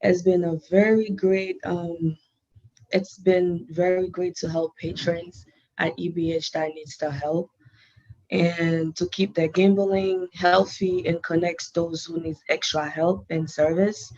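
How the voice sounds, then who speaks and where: Woman, native Haitian Creole speaker, unhurried, dull lo-fi meeting feed. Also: lowish voice, fairly even intonation, darkish tone. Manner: careful, halting non-native English. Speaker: GameSense advisor. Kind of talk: prepared meeting presentation.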